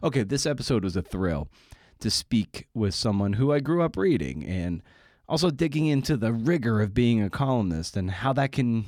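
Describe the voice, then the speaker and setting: A man's voice: mid-range voice, expressive, normal speed, a little mellow, no echo, studio quality. A man hosting his podcast, chatting casually and wryly through plugs and the episode intro with self-deprecating asides. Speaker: podcast host.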